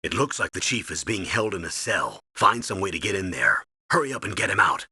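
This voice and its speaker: A man's voice: low-pitched, sing-song, fairly quick, sharp tone, breathy edge, no echo, studio quality, exaggerated voice. A man playing a stern older American military colonel in a video game, giving clipped, authoritative mission briefings. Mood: urgent.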